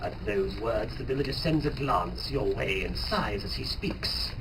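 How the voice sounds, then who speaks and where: Male narrator, scripted live reading, lively pitch, distant, clear background noise. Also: a little slow. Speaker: narrator and reader. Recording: noisy distant live room recording.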